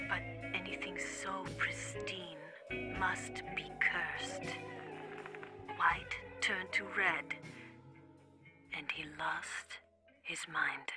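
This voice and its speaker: A woman playing a sultry, mysterious narrator recalling a dark memory in a breathy, hushed game monologue. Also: on the low side, full character voice. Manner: hushed, deliberate. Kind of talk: slow dramatic storytelling monologue.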